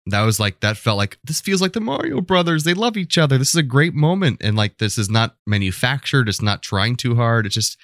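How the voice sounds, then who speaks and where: A man's voice: medium pitch, sing-song, fairly quick, hardly any pauses, a bit bright, no room sound, studio quality. A man co-hosting a podcast, chatting casually about film in an informal way, often leaning on "like". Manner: informal, hedging with frequent "like". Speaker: podcast co-host. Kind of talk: casual film debate chat.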